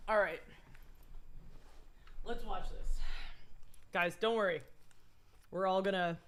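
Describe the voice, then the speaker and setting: A young woman streamer with a low voice, talking casually and rambling through asides while reacting to a video. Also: fairly even intonation, slow pace, slight background noise. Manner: rambling, self-deprecating, laughs through asides.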